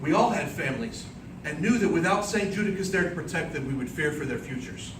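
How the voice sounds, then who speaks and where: A man delivering a theatrical speech as an epic tale, with dramatic, declamatory and deliberate phrasing. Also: a little high, lively intonation. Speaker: speech contest speaker. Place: indoor room before an audience. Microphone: distant microphone. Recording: roomy, distant microphone, clearly noisy background.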